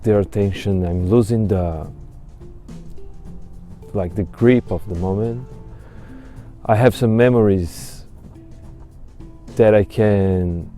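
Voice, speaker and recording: Slow, soft-spoken man with Portuguese roots. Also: on the low side. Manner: slow, halting, searching for words. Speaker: music teacher. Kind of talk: reflective interview answer. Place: outdoors in autumn woods.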